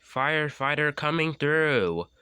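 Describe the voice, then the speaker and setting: A man's voice: on the high side, lively intonation, slow pace, pushed and bright, clear, resonant tone, dry recording, pitched above the performer's own voice, exaggerated voice. A man voicing a boastful, cheerful young male fire engine in a storybook. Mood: cheerful boasting. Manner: cheerful, overconfident.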